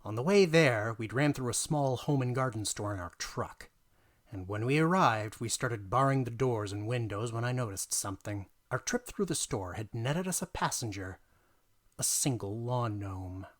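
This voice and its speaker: Guy doing a dramatic reading of a tabletop game story, mid pitch, theatrical, leans on words for effect. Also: expressive. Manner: theatrical, emphatic, deliberate pauses for effect. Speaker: narrator.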